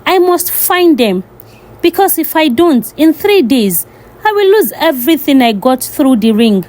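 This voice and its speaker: Woman playing an emphatic, animated folktale king. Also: high voice, measured pace, a little hiss or hum, pitched above the performer's own voice. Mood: determined. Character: royal father, indulgent to his child, urgent, determined. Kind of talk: folktale storytelling.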